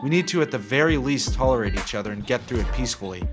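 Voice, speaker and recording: A man's voice: mid-range voice, expressive, fast talker, close to an everyday voice. Level-headed cartoon hero, male actor: serious, diplomatic delivery in a middle register over music. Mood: firm, reasonable. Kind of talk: animated comedy voice acting.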